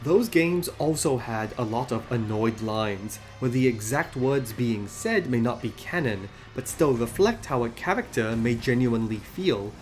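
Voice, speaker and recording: A man hosting a scripted video essay about game lore, explaining in a measured way. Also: medium pitch, animated, faint music behind. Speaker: video host.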